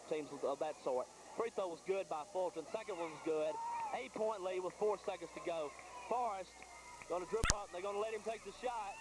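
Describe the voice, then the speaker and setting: A man doing live play-by-play commentary of a high school basketball game in clipped phrases. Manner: clipped phrases, calling the action as it happens. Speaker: play-by-play radio sports announcer. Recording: noisy 1980s VHS tape of gym broadcast.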